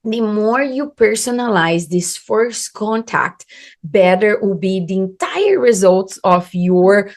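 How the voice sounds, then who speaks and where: A woman's voice: mid-range voice, expressive, takes it slowly, darkish tone. A woman from Brazil with a Brazilian Portuguese accent, a business coach giving an earnest, emphatic instructional talk in English as a second language. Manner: earnest, emphatic, non-native grammar.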